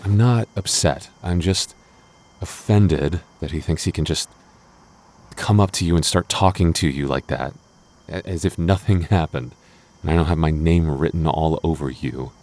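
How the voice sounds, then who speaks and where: A man's voice: extremely low pitch, normal speed, a little mellow. A man playing a jealous, protective young boyfriend in an intimate audio roleplay, defensive and stammering but loving. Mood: annoyed, possessive. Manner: stammering, defensive, teasing asides.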